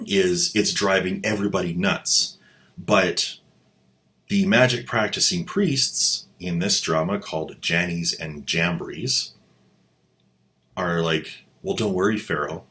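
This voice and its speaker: A man's voice: deep voice, measured pace, fairly bright tone. A man hosting a comedic commentary with a sarcastic, mocking manner. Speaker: host.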